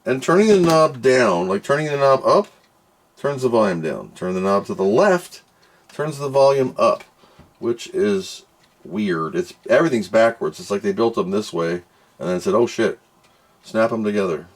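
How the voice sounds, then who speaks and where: Low-voiced man grumbling through a product test. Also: animated, normal speed. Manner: grumbling, thinking aloud. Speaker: host of a product test video. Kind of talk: casual unscripted product review.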